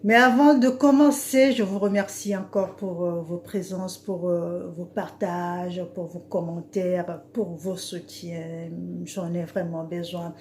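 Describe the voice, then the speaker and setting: A woman preacher talks to her online followers in a slow, unhurried way. Her voice is mellow and soft, and she pauses often with hesitant fillers as she explains Bible verses. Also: on the low side, steady tone, a little hiss or hum. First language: an African language she names as her mother tongue. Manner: slow, hesitant speech with frequent "euh" fillers. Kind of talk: informal online devotional talk.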